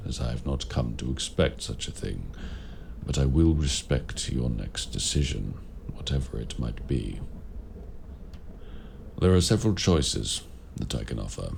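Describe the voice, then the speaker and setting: Man as a very deep, gravelly warlock. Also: darkish tone, slight background noise, exaggerated voice. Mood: solemn, apologetic. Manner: formal, measured, deliberate phrasing. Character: ancient male warlock in raven form, courteous, grave mentor. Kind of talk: audio roleplay addressed to the listener.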